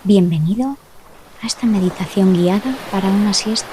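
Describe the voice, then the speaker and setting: A woman narrating a guided relaxation meditation, speaking gently and soothingly with long pauses between short phrases. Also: medium pitch, slight background noise. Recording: loud ocean waves behind the voice. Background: sound of sea waves.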